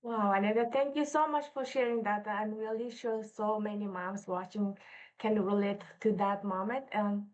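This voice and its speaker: A woman's voice: mid-range voice, fairly even intonation, normal speed, a little mellow, muffled recording. A woman hosting a live online interview, welcoming and thanking her guests often. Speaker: interview host.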